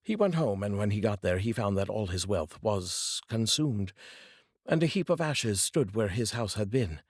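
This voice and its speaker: A man's voice: fairly low pitch, animated, normal speed, husky, no room sound, clean studio sound. A man narrating a folk tale as a storyteller, reading aloud expressively.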